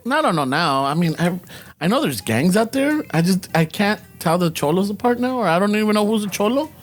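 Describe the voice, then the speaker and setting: Guy with a high voice, cracking jokes, mixing spanish in. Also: sing-song, brisk, soft background music. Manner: jokey, mixes English and Spanish. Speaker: podcast co-host. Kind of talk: casual banter.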